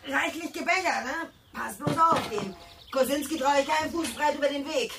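A woman's voice: high-pitched, moderate pace, distant mic, background noise. An actress playing a gossipy, distrustful village woman in a radio drama, chatty and scornful in colloquial speech.

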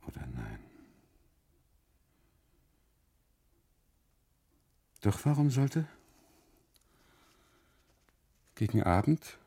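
An actor playing an anxious, obsessive husband on holiday in a literary radio monologue, speaking in halting fragments with insistent repetition and a gravelly, husky voice. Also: male voice, low voice, dark tone, dry recording, exaggerated voice. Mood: brooding, doubtful. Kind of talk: literary radio monologue, 1980s German radio drama.

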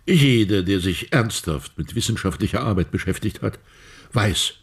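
An actor playing an elderly, philosophical and evasive science professor in a radio play, husky and deliberate in delivery. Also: male voice, lowish voice, lively intonation, full character voice. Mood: philosophical, pontificating. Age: older.

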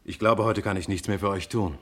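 Deep-voiced probing detective played by a man. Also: lightly acted. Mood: probing, courteous. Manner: interrogating, measured, insistent. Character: probing male police detective, persistent, dryly courteous, stern. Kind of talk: German radio crime play acting.

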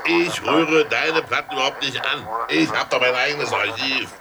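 An actor playing a boastful, cocky radio studio technician in a radio drama. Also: male voice, normal speed, gravelly, natural voice. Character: boastful radio technician showing off his records. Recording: loud background noise, rumbling train sounds.

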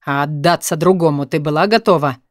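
A woman's voice: fairly quick, no echo, clean studio sound, close to an everyday voice. A woman voicing a stern, domineering man in an audiobook drama, commanding and menacing, with bitter, cold anger. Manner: commanding, low-spoken, menacing.

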